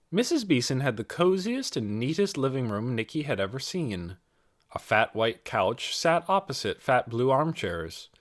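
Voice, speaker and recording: A man narrating a novel as an audiobook reader, with careful, expressive storytelling delivery. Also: mid-range voice.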